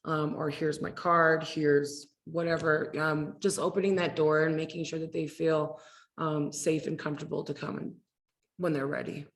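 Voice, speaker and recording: A woman advocate talks casually on a community panel. Her voice sits very low and flat, with a gravelly fry, and she pauses on fillers as she goes. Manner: relaxed, conversational, many fillers. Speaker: domestic violence advocate. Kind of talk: panel discussion.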